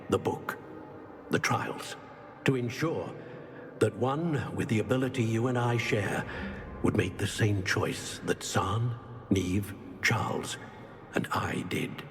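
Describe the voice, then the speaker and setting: A wise old wizard guardian in a fantasy game. His voice is low and solemn, formal and measured, ringing in a long reverb with faint music underneath. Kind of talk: video game fantasy voice acting.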